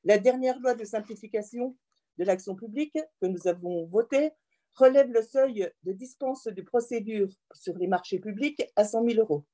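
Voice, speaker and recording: A woman delivers a formal prepared address as a politician, in a measured, earnest manner. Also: medium pitch, mellow. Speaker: member of parliament addressing local mayors.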